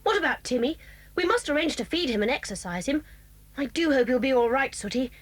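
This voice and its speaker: High, earnest English child. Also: female voice, animated, brisk. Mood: worried. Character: earnest English child adventurer, anxious for pet dog. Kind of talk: children's audio drama acting.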